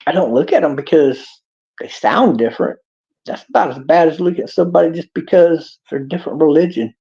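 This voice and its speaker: A man's voice: on the high side, brisk, vocal fry, mellow. A man with a Texas accent giving a rambling, preachy monologue in a folksy drawl. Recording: dull lo-fi clip.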